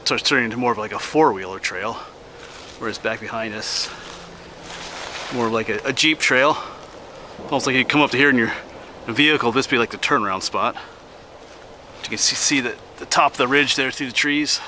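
A middle-aged man from Pennsylvania casually narrating as he hikes a winter forest trail, relaxed and slightly out of breath. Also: medium pitch. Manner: relaxed, chatty, pauses for breath. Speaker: hiking vlogger. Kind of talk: casual narration while hiking. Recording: outdoor noise on camera mic.

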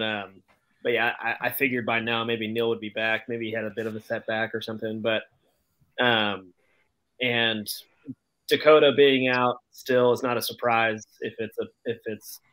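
A man's voice: medium pitch, a bit bright, poor audio. A man in his thirties talking casually as a panelist on a sports podcast video call. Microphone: webcam video call.

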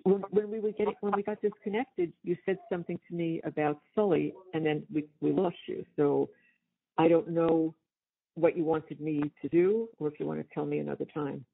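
A woman in her seventies chatting casually on a family phone call, halting and repeating phrases, with a husky, gravelly voice. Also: low-pitched, fairly even intonation. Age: 70s. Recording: tinny, band-limited jail phone call.

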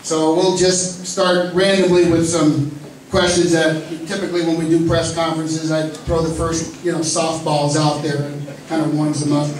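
A man with a fairly high voice announces names and jokes with the panel like a friendly emcee. He is caught from a distance in a cavernous, echoing hall, with steady background noise. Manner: genial, joking, lists names with pauses. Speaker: press conference host. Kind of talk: announcing and moderating a press conference. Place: large echoey indoor hall. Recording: echoey hall recorded from far off, noisy.

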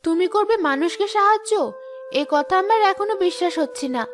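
Protective cartoon fairy, played by a woman, very high female voice, firm and scolding, music underneath. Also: fairly quick. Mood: stern questioning. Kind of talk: cartoon character acting.

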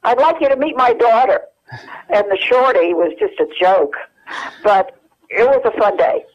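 An older woman reminiscing as an interview guest over a telephone line, with many pauses and restarts. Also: on the high side, sing-song. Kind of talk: casual phone interview.

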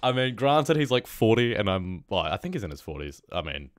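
Excitable young New guy, very low. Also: brisk, no echo, studio quality. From New Zealand. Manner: excitable, laughs often, slangy. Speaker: music reaction host. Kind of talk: excited casual reaction commentary. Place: small room indoors.

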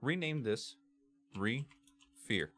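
A man with a bass-heavy voice narrating a software tutorial in a measured, step-by-step way as its presenter. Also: lively intonation. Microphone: computer microphone, screen-capture voice-over.

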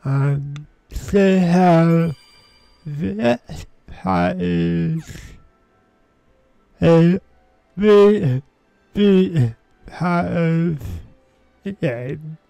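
A man giving casual, halting commentary as the host of a video game playthrough. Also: on the high side, gravelly, warm tone, no echo, soft background music.

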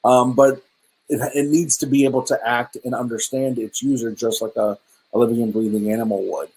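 Man, middle-aged, husky voice, medium pitch, webcam video call, hesitant casual presenting. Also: brisk, rough recording. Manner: hesitant, many ums and restarts, relaxed and chatty. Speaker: presenter at a virtual meetup. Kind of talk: casual presentation over slides. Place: indoor room at home.

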